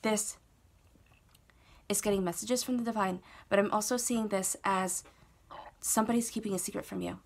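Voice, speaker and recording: A woman with a cold, sounding stuffed up, reading tarot cards alone in a steady, reassuring way, often repeating phrases and checking in with "okay?". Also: medium pitch, normal speed, vocal fry. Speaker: tarot reader. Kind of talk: solo tarot reading to camera.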